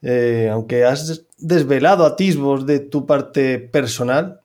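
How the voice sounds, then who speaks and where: A man hosting a podcast, reading quick-fire questions to a guest in a friendly, casual way. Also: mid-range voice, a little mellow. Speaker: podcast host.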